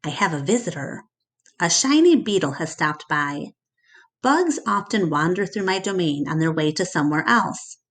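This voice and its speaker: A woman with a slightly low voice reads a storybook aloud. She narrates expressively, as a storyteller does for listeners following a book. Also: lively intonation, normal speed.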